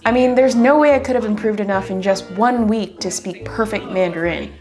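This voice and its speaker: A woman's voice: mid-range voice, fairly quick, darkish tone. A woman in her twenties narrating a casual vlog from a small home room, chatty and self-deprecating. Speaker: vlogger. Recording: music bed under the voice.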